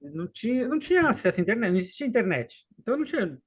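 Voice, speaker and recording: A man's voice: high-pitched, sing-song, husky. Man with a faint gaúcho accent. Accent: faint southern Brazilian gaúcho accent. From Rio Grande do Sul, southern Brazil. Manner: unhurried, halting, with frequent restarts and trailing asides. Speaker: podcast guest and co-host. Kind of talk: casual storytelling in a podcast chat.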